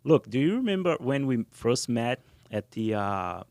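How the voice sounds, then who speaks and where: A man, a podcast host from Brazil whose first language is Portuguese, asking friendly, halting questions. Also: mid-range voice, very animated, a little mellow, no echo. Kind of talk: casual interview.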